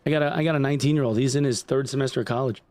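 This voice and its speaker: A man's voice: mid-range voice, fairly even intonation, racing, a little mellow, no room sound. A man in his forties from upstate New York, chatting casually and profanely with his livestream audience while he games, rambling with lots of "like" and "dude". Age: 40s. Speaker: gaming streamer.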